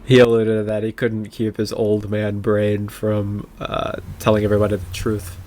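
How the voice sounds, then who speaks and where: Sardonic man with a lowish voice. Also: completely flat delivery, moderate pace, darkish tone. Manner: sardonic, mocking. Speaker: podcast co-host. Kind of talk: casual political banter.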